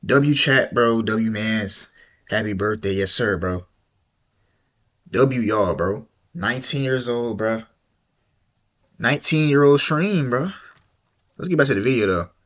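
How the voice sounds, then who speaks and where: A man's voice: mid-range voice, a little slow, pauses often, fairly bright tone, muffled recording. A young man streaming, reacting to a video in casual, slangy, repetitive chat. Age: young adult. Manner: slangy and repetitive, drawling and mumbly.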